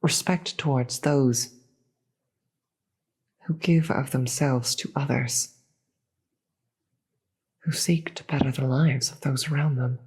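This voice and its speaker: Slow, breathy demon queen, acted out. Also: mid-range voice, fairly even intonation, a little mellow, heavily acted. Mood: scornful, resolute. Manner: deliberate, theatrical, grandiose speech with dramatic pauses. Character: proud, imperious demon queen, female, contemptuous of gods. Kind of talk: scripted fantasy audio roleplay monologue.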